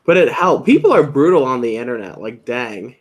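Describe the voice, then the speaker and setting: A young man with a slight lisp, chatting casually as a video host, self-deprecating and trailing off quietly at the ends of his phrases. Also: medium pitch, animated, a little fast. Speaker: YouTube Lego channel host. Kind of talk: casual chat.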